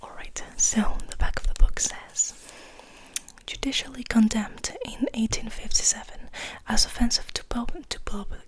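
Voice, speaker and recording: A woman speaking in a breathy, intimate whisper, soothing the listener as she reads and rambles in an ASMR style. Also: on the high side, steady tone, moderate pace. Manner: intimate, soothing, repeats words softly. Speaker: ASMR host reading poetry aloud.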